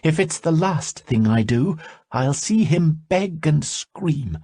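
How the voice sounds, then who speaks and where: Give me a man doing a shrill, frightened priestess, high and husky. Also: animated, slow, mellow, heavily acted. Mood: spiteful. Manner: shrill, pleading and commanding. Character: frightened, imperious female temple priestess.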